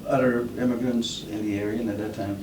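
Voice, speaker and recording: Guy interviewing, lowish voice, leading questions, echoey room, far off mic. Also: fairly even intonation, brisk. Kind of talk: oral history interview. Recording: roomy, distant, noisy recording.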